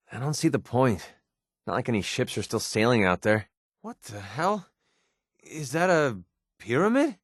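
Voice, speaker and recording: An actor playing a wisecracking, guarded young mercenary with an American accent and a colloquial, sarcastic manner in a video game. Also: male voice, medium pitch, no room sound, studio quality. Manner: sarcastic, colloquial, hesitant when sincere. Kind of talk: scripted game dialogue.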